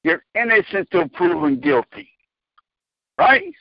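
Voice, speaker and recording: Man, high lively voice, gravelly, folksy rambling chat, dry close sound. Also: slow pace, dry recording, lo-fi sound. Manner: folksy, rambling, repeats words. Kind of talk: casual chat.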